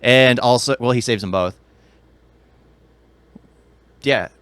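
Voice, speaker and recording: A man's voice: medium pitch, slow pace, a bit bright, clear, resonant tone, dry recording. A man co-hosting a podcast, giving blunt, opinionated takes in casual pop-culture chat. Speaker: podcast co-host.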